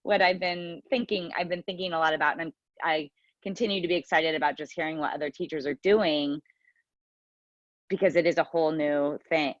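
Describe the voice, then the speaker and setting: Woman, slightly low voice, casual rambling drama teacher, searching for words, muffled dry video-call sound. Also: no room sound. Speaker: theatre teacher, actor and director.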